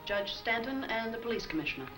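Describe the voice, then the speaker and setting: Fast-talking, sarcastic secretary played by an actress. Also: female voice, a little high, expressive, lightly acted, low-quality recording. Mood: wry, businesslike. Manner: dry, sarcastic. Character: tart, efficient female newspaper secretary, sarcastic. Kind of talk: 1940s film serial acting. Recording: old film soundtrack with music behind.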